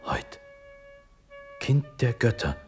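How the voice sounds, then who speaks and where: An actor playing a dreamy, ardent young prince, declaiming solemn verse in a radio drama. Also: male voice, medium pitch, sing-song, takes it slowly, darkish tone. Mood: exalted. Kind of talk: German verse monologue, radio drama acting. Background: music.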